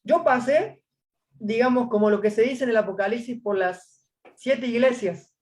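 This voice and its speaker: A man's voice: very high-pitched, normal speed. Man, Argentine accent, from Córdoba, interview guest, hesitant, lots of fillers. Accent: Argentine Spanish. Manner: hesitant, many fillers, retells his story. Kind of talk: casual testimony interview.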